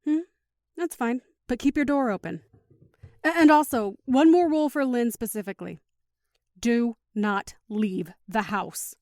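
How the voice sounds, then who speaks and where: A woman's voice: on the high side, expressive, unhurried, darkish tone, dry recording, clean studio sound. A woman playing a firm, protective, teasing mother of two in an audio drama, instructing patiently.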